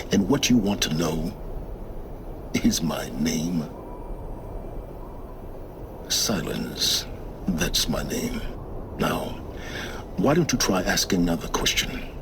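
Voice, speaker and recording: A man's voice: low voice, lively intonation. A man playing a cold, aloof scholarly mentor in a game cutscene, speaking in a clipped, condescending way. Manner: condescending, clipped, slow deliberate. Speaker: game character. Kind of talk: video game cutscene acting. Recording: game audio with clear background noise.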